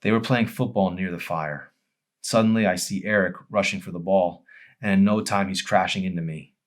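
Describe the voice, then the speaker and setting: A man's voice: deep voice, normal speed, natural voice. Man voicing an emotional, insistent young girl. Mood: sad recollection.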